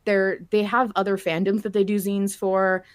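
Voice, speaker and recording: A woman's voice: medium pitch, level tone, normal speed, dry recording. A woman hosting a podcast in casual conversation, speaking informally and swearing freely. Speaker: podcast host.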